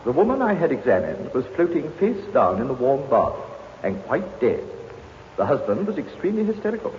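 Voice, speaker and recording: A professional doctor on the witness stand in a 1950s radio drama. The delivery is precise and formal, with hugely varied intonation. The recording is dull, with long reverb. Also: male voice, mid-range voice, huge pitch swings, brisk, a little hiss or hum. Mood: precise, sober. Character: precise, professional male doctor testifying in court.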